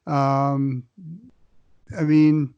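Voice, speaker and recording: A man's voice: mid-range voice, completely flat delivery, very slow, smooth, no echo, muffled recording. Wry man with a dry, close sound. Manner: wry, chatty, hesitant. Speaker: podcast co-host. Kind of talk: casual sports talk.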